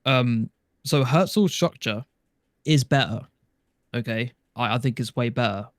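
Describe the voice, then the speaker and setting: A man's voice: medium pitch, a little slow, dry recording, clean studio sound. A man giving his view as a panelist in a casual podcast discussion.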